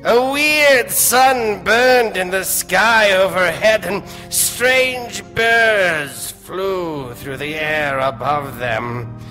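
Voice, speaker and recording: An ominous narrator of eerie tales played by a man, very slow and rather high. He speaks theatrically in old radio-serial style, with music behind him in a reverberant hall. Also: pushed and bright, heavily acted. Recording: echoey stage hall reverb.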